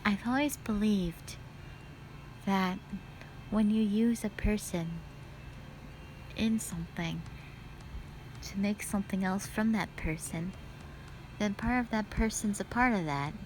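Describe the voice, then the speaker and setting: A woman playing a wistful, scheming yet playful witch sister in an audio roleplay, speaking softly and reflectively to the listener. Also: medium pitch, slow pace, long pauses, slight background noise, natural voice, rough recording. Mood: wistful, solemn.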